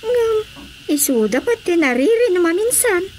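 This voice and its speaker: A woman's voice: extremely high pitch, very animated, moderate pace, darkish tone, slight background noise. A woman with an Ilocano accent acting a respectful, concerned villager in a radio drama comedy.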